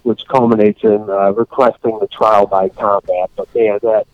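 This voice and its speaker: A man's voice: lowish voice, little pitch movement, no echo, highs cut off. Man rambling through a casual recap. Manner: rambling, acts out scenes in quick put-on voices. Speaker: podcast host. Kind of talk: casual podcast recap chat.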